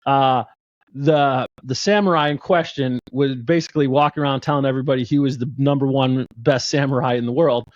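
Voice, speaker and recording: A man's voice: mid-range voice, steady tone, brisk, no room sound. A man hosting a podcast, chatting enthusiastically and joking with his guest, often restarting his sentences. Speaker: podcast host. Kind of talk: casual interview chat. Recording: dull dry lo-fi sound.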